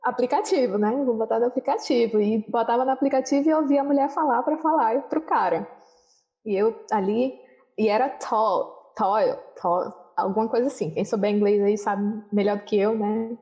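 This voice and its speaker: A young adult woman, a guest in a casual interview, telling travel stories in a relaxed, rambling way with frequent tag questions. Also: fairly high pitch, normal speed. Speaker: guest, social worker.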